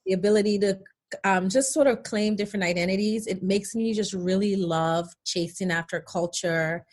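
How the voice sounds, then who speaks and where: A middle-aged woman who grew up in Nigeria speaks as an interview guest. Her voice sits at a medium pitch. She tells stories in a casual, conversational way. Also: fairly even intonation, normal speed. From Nigeria, born in England.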